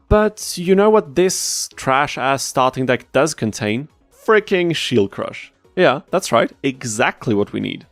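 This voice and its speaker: A man hosts a scripted gameplay commentary in a medium-pitched voice. His delivery is casual and wry, dropping into mock-exasperated asides. The sound is dry, with no room around it. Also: dry recording. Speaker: gaming video host.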